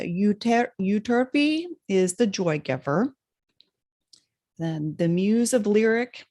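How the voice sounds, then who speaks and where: A woman's voice: lowish voice, a little mellow. A woman co-hosting a casual spiritual chat, hesitant, with many fillers, stumbling over names. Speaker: podcast co-host.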